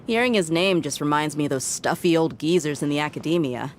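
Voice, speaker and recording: A woman playing a low-voiced, teasing mercenary. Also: fairly quick, slight background noise, lightly acted. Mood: businesslike. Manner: playful, teasing. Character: teasing young female mercenary. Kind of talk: game voice acting. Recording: game music playing loud behind.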